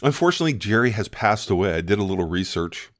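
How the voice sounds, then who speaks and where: A man's voice: fairly low pitch, big pitch swings, fast, no echo. A man co-hosting a podcast in casual chat, with a dry, wry humor and a disc-jockey style of introducing topics. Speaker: podcast co-host. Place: home studio, recorded locally over Skype.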